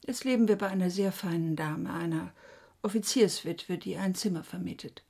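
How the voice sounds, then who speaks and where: An actress playing a dutiful middle-aged wife and mother in a radio drama, her voice husky and breathy. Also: lowish voice, normal speed, a little mellow. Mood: subdued, dutiful. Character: dutiful, struggling wife and mother reporting family news.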